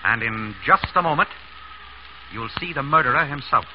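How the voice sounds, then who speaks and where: Actor as animated 1930s radio doctor-detective. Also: male voice, mid-range voice, normal speed, natural voice. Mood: deliberate, pointed. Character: wry, decisive male doctor turned amateur detective. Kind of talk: 1930s radio drama acting. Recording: dull, noisy 1930s radio recording.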